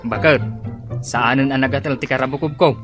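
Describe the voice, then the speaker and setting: A man's voice: mid-range voice, fairly even intonation, a little fast, over loud music, close to an everyday voice. An actor playing a village husband who grumbles about his sore throat. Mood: grumbling.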